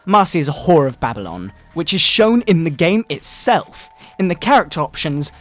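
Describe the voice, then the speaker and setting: Man playing a pompous fundamentalist dad, animated higher voice. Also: moderate pace. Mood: outraged condemnation. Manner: pompous, theatrical, scolding. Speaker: video narrator. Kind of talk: dramatic satirical reading, sermon-like. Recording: music bed under the voice. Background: video game music.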